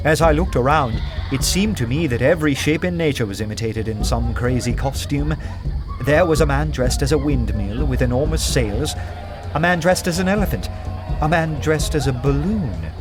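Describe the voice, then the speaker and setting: A man playing an earnest, poetic detective who narrates his strange adventure in a radio play with measured, literary wonder. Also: mid-range voice, animated, lightly acted. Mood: wonderstruck. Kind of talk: dramatic first-person radio play narration. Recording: loud music under the voice. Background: music.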